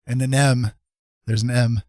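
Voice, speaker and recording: A man's voice: mid-range voice, level tone, unhurried, darkish tone, dry recording, faint music behind. A man hosting a casual livestream, commenting on a game in an encouraging, jokey manner. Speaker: livestream host.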